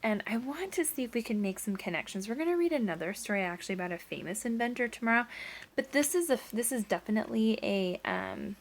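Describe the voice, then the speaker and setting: A woman's voice: on the high side, lively intonation, slight background noise. Teacher reading a story to her class. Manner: pauses to explain and ask the children questions. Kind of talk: reading a picture book aloud to a class.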